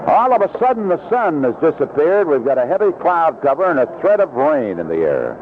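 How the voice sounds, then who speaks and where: Male sportscaster, baseball play-by-play, lively swooping pitch, short calls, narrow noisy old broadcast. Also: fairly quick. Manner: descriptive, short clipped calls. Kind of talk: live radio sports commentary. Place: broadcast position at an outdoor ballpark. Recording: narrow-band vintage radio broadcast, noisy.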